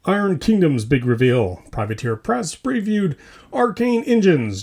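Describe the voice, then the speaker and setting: A man with a fairly high, slightly husky voice delivers a scripted comedic roundup as a podcast host. His pitch swings widely from phrase to phrase, and he keeps a punchy, tongue-in-cheek manner. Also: darkish tone. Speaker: host of a tabletop wargaming hobby news podcast. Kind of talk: scripted comedic news roundup with mock commercials.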